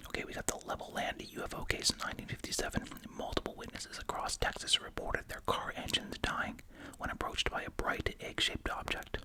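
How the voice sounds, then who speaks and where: An ASMR host whispering close to the mic while chewing gum, reading aloud with casual, musing asides. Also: low-quality recording. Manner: informal, musing asides in a hushed voice. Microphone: close ASMR microphone.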